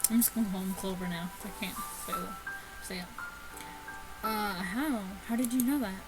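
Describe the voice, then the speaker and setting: A woman hosting a gaming video, reading a visual novel aloud in a casual, halting way with stumbles and asides. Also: medium pitch, over music, rough recording. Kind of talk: casual chat and read-aloud let's play.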